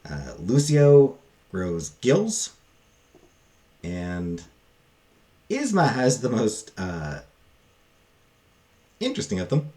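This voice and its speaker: A man giving a casual, unscripted book review, halting and thinking aloud with frequent pauses. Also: mid-range voice, huge pitch swings, warm tone.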